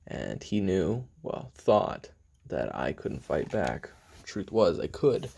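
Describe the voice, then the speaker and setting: A man playing a brooding, hot-tempered assassin in an audio roleplay, recounting his memoirs in a halting, casual, profane confessional with a gravelly, husky voice. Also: sits low, very animated, a little mellow, full character voice. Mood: brooding, tense. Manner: halting, casual, profane, with sighs and trailing pauses.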